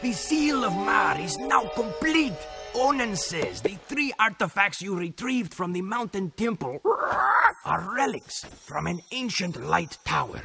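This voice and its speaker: A man's voice: sits high, big pitch swings, unhurried, vocal fry, over music, exaggerated voice. A man voicing a cranky, sassy talking monkey-bird interpreter in a video game cutscene, theatrical and full of squawking exclamations. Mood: grandiose.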